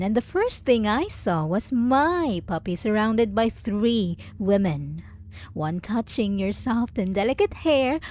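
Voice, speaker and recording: A woman playing a jealous, possessive yet doting lover in an intimate ASMR roleplay, teasing and condescending with deliberate pauses. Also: medium pitch, mellow. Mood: possessive, controlled. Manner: slow, teasing, condescending pet-name cooing with deliberate pauses.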